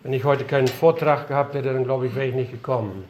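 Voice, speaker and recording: A man's voice: mid-range voice, fairly even intonation, fast talker, a little mellow, slight background noise. An older man giving an earnest, emphatic lecture-style sermon in a conference room, his voice a little strained from being unwell. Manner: earnest, emphatic, rhetorical questions. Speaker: missionary speaker.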